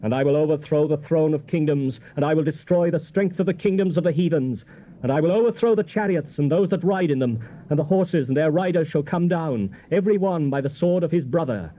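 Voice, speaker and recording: An actor playing a solemn, commanding Old Testament prophet in a stately, declamatory scripture drama. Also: male voice, fairly high pitch, a little fast, close to an everyday voice. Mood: solemn, urgent. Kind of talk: dramatized King James scripture reading. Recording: dull, noisy old cassette tape.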